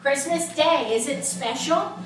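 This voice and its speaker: Slow, high-voiced woman storyteller in an echoey room. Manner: slow, simple phrasing addressed to children. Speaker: storyteller and host of a children's puppet show. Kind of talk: storytelling to young children. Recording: very echoey room, distant mic, music behind.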